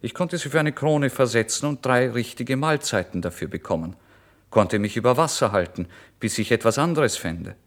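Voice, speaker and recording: A man narrating a literary first-person story in a brooding, expressive way, moving between hushed and emphatic delivery. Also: medium pitch, normal speed. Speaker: audiobook narrator.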